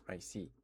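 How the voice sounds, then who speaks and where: A man hosting an ASMR livestream, chatting casually and playfully with viewers in a halting way. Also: warm tone, a little hiss or hum. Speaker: livestream host.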